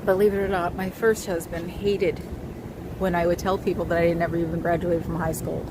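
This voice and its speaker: A woman with a high voice chatting casually to her viewers, wry and quick to laugh. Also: little pitch movement, noisy background. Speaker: livestream host. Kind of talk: casual chat reacting to viewer comments.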